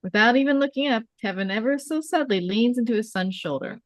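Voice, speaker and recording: A woman's voice: on the high side, expressive, a little fast, no room sound, clean studio sound. A woman reading stage directions aloud as scripted narration at a script table read.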